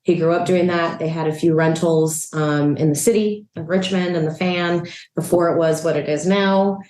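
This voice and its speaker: Low-voiced Salvadoran-born woman, relaxed chatty interviewee, echoey room. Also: normal speed. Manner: relaxed, chatty, frequent "um" and "you know". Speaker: real estate agent and investor. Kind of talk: casual interview chat. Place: a rental apartment.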